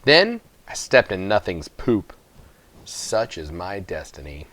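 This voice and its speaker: A man's voice: low voice, sing-song, slow, a bit bright, dry recording. A man narrating a children's novel aloud from the text as a storybook reader.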